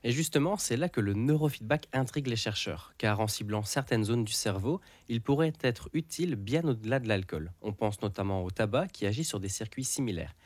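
A man with a slightly low voice co-hosts a podcast. He delivers scripted explanations clearly and didactically. The recording is dry, without room sound. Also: lively intonation, a little fast, few pauses, darkish tone, no room sound. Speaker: podcast co-host.

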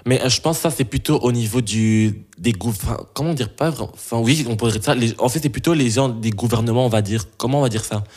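Young man, from Belgium, mid-pitched, halting, lots of restarts, interview answers. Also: a little mellow, slightly rough. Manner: halting, many restarts and fillers.

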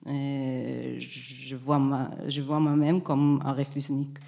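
A woman's voice: very deep voice, level tone, takes it slowly, gravelly, warm tone. A woman from Israel, whose first language is not French, giving a hesitant interview answer and searching for words. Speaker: guest.

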